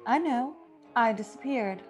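Earnest young heroine played by a woman: high voice, flustered, stammering, radio play. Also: lively intonation, measured pace, darkish tone, soft background music. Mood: subdued. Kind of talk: classic radio play acting.

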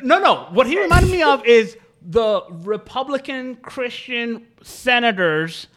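A man's voice: extremely high pitch, big pitch swings, unhurried, a bit bright. A man co-hosting a casual podcast, bluntly making confident claims with profanity. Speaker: podcast co-host. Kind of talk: casual chat.

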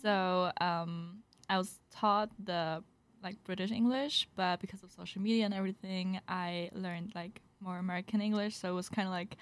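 A teenage girl from Germany talks as an exchange student guest. She is chatty and fills her speech with little pauses and words. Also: lowish voice, steady tone. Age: teens. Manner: chatty with many fillers and laughs.

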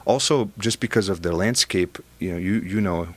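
A middle-aged man with a Belgian accent talks in a fairly low voice. He is animated, and he often repeats his first words as he starts a sentence. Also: a little fast. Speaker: guest. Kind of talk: conversational interview.

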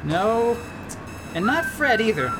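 A man playing an earnest, excitable, sweetly fussy band leader in a comedic radio play, theatrical and exclamatory. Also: extremely high pitch, takes it slowly, heavy background noise, heavily acted. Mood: bewildered, annoyed. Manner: theatrical, exclamatory, talks to himself.

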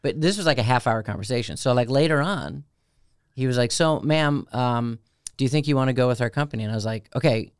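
A man with a tenor voice, telling stories in a casual, animated, self-deprecating way as co-host of a radio show. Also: quick pace, clean tone, dry recording, clean studio sound. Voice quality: high tenor voice. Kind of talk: casual chat.

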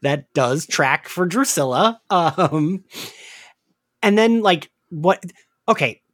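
Animated man chatting, very dry sound. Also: on the high side, slow, dry recording. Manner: animated, conversational, swears casually. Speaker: podcast co-host. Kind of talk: casual chat recapping a show.

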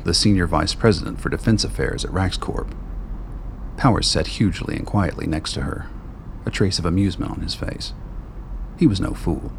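A man narrating a radio play in a measured, grave way. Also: sits low, creaky, a little hiss or hum.

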